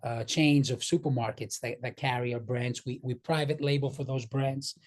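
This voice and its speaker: A man's voice: medium pitch, fairly even intonation, normal speed. A man with a slight Greek accent, speaking earnestly on a video call about his company's products and often repeating words as he goes. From Greek, born in New York. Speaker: vendor presenting his company. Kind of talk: casual business presentation on a video call.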